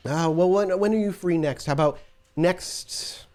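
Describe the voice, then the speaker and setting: A man playing a calm, pleasant male street preacher who keeps smiling and never gives ground. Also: high voice, unhurried, voice pushed up. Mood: polite, unruffled.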